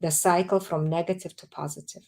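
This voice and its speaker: A woman, a guest author answering interview questions in a calm, emphatic, explanatory way. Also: fairly low pitch, fairly quick, creaky.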